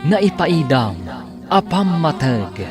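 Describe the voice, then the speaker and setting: A man's voice: fairly high pitch, big pitch swings, slow pace. A man announcing a radio drama serial in a dramatic, scripted narrating style. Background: music.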